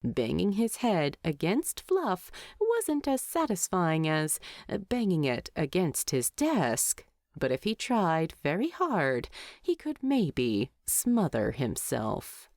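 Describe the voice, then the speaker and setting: A woman reading a story aloud as an audiobook narrator, in expressive scripted narration. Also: lowish voice, slow, no echo, clean studio sound.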